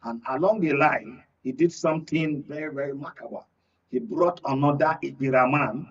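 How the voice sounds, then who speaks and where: Husky Nigerian male political analyst, distant sound. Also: fairly high pitch, normal speed. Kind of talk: political panel discussion. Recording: dull, distant studio sound.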